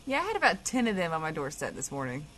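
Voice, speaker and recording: A woman's voice: deep voice, huge pitch swings, quick pace, fairly bright tone, a little hiss or hum, full character voice, low-quality recording. A woman playing a sardonic, impatient radio co-host in scripted comedy banter.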